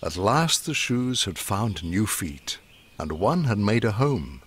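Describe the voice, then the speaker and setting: A man narrating a rhyming children's bedtime story in expressive, measured verse. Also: lowish voice, slightly rough.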